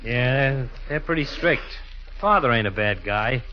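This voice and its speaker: An actor playing a chatty, wisecracking small-town American barber with folksy, slangy talk in a 1940s radio comedy. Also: male voice, medium pitch, lively intonation, unhurried, natural voice. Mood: gossipy. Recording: muffled 1940s radio recording.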